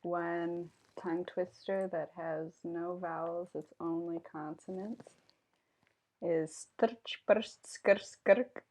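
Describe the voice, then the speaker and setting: A woman guest chatting casually, relaxed and loose, with fillers. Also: fairly low pitch, slow. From Czech-speaking home. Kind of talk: casual chat.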